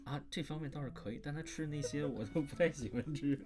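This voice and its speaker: A man speaking as a voice actor guest in a casual panel interview, informal, animated and playful in how he talks. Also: on the high side, quick pace. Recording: music playing behind the voice.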